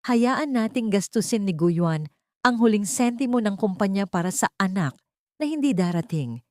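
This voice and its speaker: A woman's voice: medium pitch, lively intonation, moderate pace, darkish tone, no room sound, clean studio sound, natural voice. A woman voicing a cold, commanding, betrayed wife and wealthy boss in an audio story, with a controlled, cutting manner. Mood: cold, bitter.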